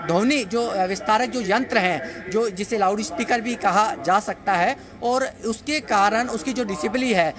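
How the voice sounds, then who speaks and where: A man reporting live for the news from the street, speaking in a halting, repetitive way with frequent hesitations. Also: high-pitched. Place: busy street market, outdoors.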